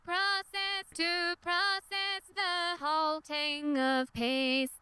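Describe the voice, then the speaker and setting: Synth female singer from a voicebank, very high, stretched-out sung phrases, song playback. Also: little pitch movement, very slow, sharp tone, faint music behind, exaggerated voice. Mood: melancholy. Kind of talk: synth vocal in a pop song.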